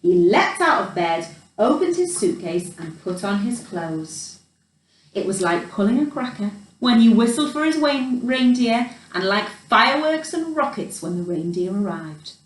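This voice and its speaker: A woman reading a children's picture book expressively, in an animated storyteller's manner with chatty asides. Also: medium pitch, measured pace. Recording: roomy echo, distant microphone.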